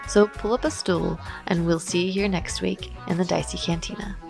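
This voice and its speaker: A woman reading the podcast's closing credits in a friendly, even tone. Music plays clearly beneath her voice. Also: fairly low pitch, normal speed. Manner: friendly, read-aloud thanks. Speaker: podcast host. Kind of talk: scripted show outro.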